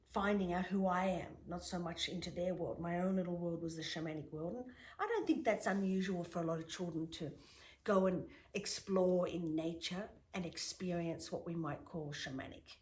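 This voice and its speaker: A middle-aged woman whose mother tongue is Greek, telling reflective stories in an interview with emphatic rises and soft whispered asides. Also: on the low side, normal speed. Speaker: guest, spiritual healer.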